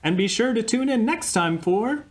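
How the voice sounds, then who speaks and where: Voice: medium pitch, lively intonation, moderate pace, lightly acted. A performer playing a cheerful host signing off a comic radio show. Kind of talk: radio comedy play.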